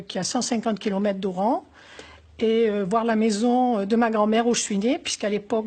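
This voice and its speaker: An older woman from Algeria, recounting memories in an interview, pausing often with fillers and speaking in a reflective, wistful way. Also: a little high, darkish tone. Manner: hesitant, many pauses and fillers. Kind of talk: oral history interview.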